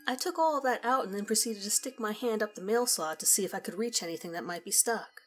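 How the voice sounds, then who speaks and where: A woman narrating a scripted first-person story in a conversational, measured storytelling manner. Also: medium pitch, a bit bright. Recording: music behind the voice. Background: background music.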